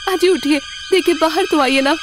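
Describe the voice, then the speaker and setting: A woman's voice: sits high, normal speed, music in the background, pitched above the performer's own voice, natural voice. A woman voicing an anxious village wife in a cartoon story, urgent and imploring. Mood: alarmed. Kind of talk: Hindi cartoon story acting.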